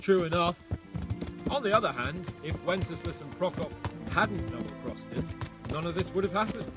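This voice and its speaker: A man's voice: a little high, lively intonation, normal speed, loud music behind the voice, natural voice. An actor playing a cocky, worldly young medieval nobleman with a flippant, wry way of talking in video game dialogue. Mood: wry, candid.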